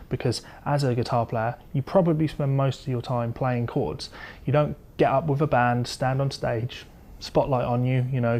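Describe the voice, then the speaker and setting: A man's voice: medium pitch, warm tone. A man giving an informal, conversational guitar lesson, explaining things as a teacher would to a student. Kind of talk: informal instructional talk with demonstrations.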